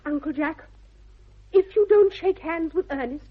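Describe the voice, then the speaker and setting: High-voiced, eager English young lady. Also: a little slow. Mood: pleading. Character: eager, sweetly earnest young lady ward. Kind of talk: 1960s radio comedy of manners acting. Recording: dull 1960s radio recording.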